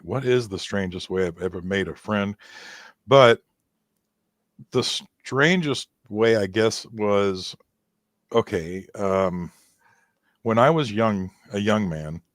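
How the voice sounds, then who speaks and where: A man with a low voice talks as a guest on a casual show. He wanders through his stories with restarts and chuckles at himself often. The recording is close and dry, with no room sound. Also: no room sound. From Irish heritage. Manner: rambling, laughs often, self-deprecating. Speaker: guest musician. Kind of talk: casual interview chat.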